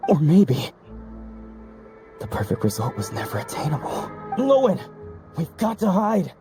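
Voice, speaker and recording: An actor playing an anxious, cautious young researcher in a video game, speaking in a worried, hesitant way. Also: male voice, on the high side, wildly swooping pitch, unhurried, music in the background. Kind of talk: scripted video game acting.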